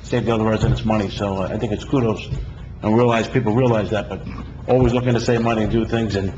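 A middle-aged man, a councilman, giving informal, halting, complimentary remarks at a public meeting. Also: lowish voice, steady tone. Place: indoor council chamber. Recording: slightly muffled, faint room noise.

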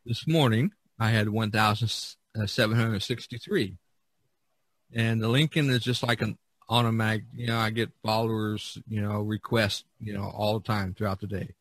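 Bright-voiced man hosting a casual podcast. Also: fairly low pitch, steady tone, normal speed, dry recording. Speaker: podcast host. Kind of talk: casual chat, reading out figures.

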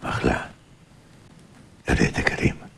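An actor playing a troubled, weary but tender man with an Egyptian accent in a TV drama, brooding and halting in manner. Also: fairly bright tone, a little hiss or hum, lightly acted. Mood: bitter, defeated. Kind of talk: TV drama acting. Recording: music under the dialogue.

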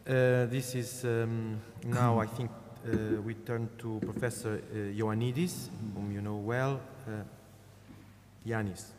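Slow, low-voiced panel moderator. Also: male voice, flat delivery, darkish tone. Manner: halting, with fillers. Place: large conference hall. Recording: reverberant hall, faint noise.

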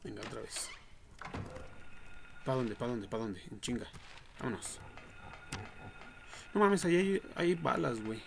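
Gravelly young Mexican man streaming a game. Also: medium pitch, big pitch swings, unhurried, pauses often. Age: young adult. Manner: slangy, foul-mouthed, reacts out loud. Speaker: livestreamer. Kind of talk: casual horror game livestream commentary. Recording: noisy home livestream with clicks and typing.

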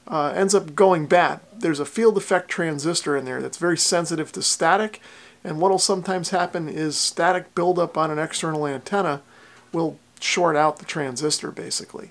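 An American man in his forties hosts a hands-on repair tutorial from his workshop. His voice sits a little higher than average and has a lot of fry in it. He explains each step plainly and methodically. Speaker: host of a radio repair channel. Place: small indoor workshop with concrete walls.

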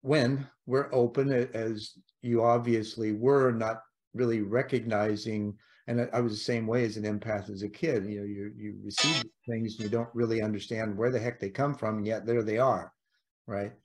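A man's voice: on the low side, steady tone, moderate pace. A middle-aged man hosting a podcast, asking long rambling questions over a video call. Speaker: podcast host. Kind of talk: casual interview chat.

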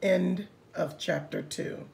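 A woman reading a picture book aloud expressively, pausing to comment and address young listeners. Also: fairly low pitch, fairly even intonation, vocal fry, very noisy.

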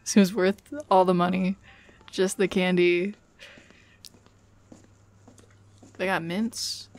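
A woman's voice: fairly low pitch, creaky. A woman acting out one side of a casual girlfriend roleplay as a chatty, teasing young woman, informal and a little bossy. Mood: playful. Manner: informal, teasing, giggly, swearing. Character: playful, chatty, bossy young woman on a dinner date with an old friend.

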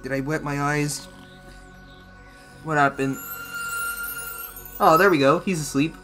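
A man's voice: on the high side, fairly even intonation, takes it slowly, music in the background. A young man hosting a casual reaction video from a small room, rambling and slipping in jokey asides. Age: young adult.

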